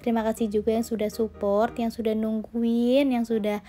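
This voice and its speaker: Chatty woman, hoarse and nasal. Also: fairly high pitch, flat delivery, moderate pace, mellow. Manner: chatty, friendly, addresses viewers as friends, many fillers. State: slightly hoarse and nasal from a sore throat. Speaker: homemaker vlogger. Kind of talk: casual cooking vlog voice-over. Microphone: phone recording.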